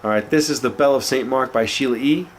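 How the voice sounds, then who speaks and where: A man's voice: medium pitch, fast talker, slight background noise. A man with a cold and a slightly nasal voice, casually chatting as he shows off records from his collection. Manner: relaxed, chatty, with frequent fillers. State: congested with a cold, sniffling. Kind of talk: casual show-and-tell chat.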